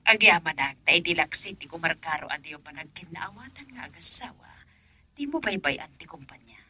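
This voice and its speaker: A concerned older mother in a Filipino radio drama. She is very husky and breathy, admonishing with wide swings of pitch, in a dry recording. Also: animated, moderate pace, bright, forceful tone, dry recording, heavily acted.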